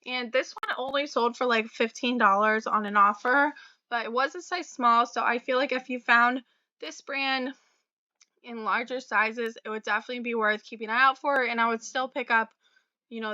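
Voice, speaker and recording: A young woman vlogger, slightly raspy from a cold, chatting casually about her resale items with fillers and little laughs. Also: fairly high pitch, fairly even intonation, moderate pace, bright, forceful tone, no room sound, dull audio. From Florida. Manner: chatty, friendly, many fillers and small laughs. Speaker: reseller vlogger. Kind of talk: casual talk to camera about sales.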